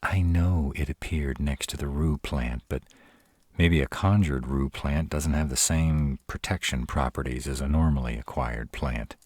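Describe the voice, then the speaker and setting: A man playing a gruff but tender, protective were-dragon boyfriend in a romantic fantasy audio roleplay, speaking to the listener with teasing care and hesitant pauses. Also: extremely low pitch, a little mellow, dry recording, clean studio sound, exaggerated voice. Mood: uneasy, cautious. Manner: tsundere, teasing yet caring, hesitant pauses.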